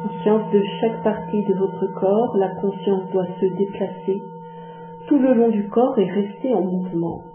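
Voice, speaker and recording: Woman, yoga nidra instructor, slow calm delivery, long pauses, echoey room, music behind. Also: medium pitch. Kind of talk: guided relaxation meditation. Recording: muffled phone-like sound, echoey, music behind.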